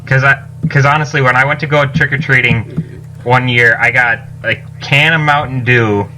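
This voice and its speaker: A man's voice: mid-range voice, fairly even intonation, normal speed, bright, forceful tone, soft background music, poor audio. A man talking casually on a podcast, rephrasing himself as he goes.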